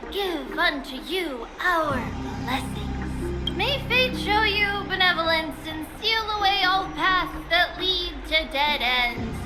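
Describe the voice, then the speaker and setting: A tiny, playful girl demigod from a fantasy game. Her very high, childlike voice swoops about in an unhurried sing-song, excitable and bright-spirited, with loud music behind her. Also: exaggerated voice. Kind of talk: video game character acting.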